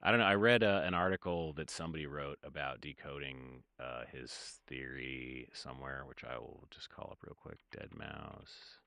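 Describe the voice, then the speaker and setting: A man in his thirties speaks in an extremely deep voice with a bright, gravelly edge, close to the mic in a dry room. He rambles in a casual livestream chat from his home studio, full of fillers and half-finished thoughts. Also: dry recording. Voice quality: very low voice. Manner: rambling, hesitant, many fillers and trailing-off sentences. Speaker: livestreaming music producer.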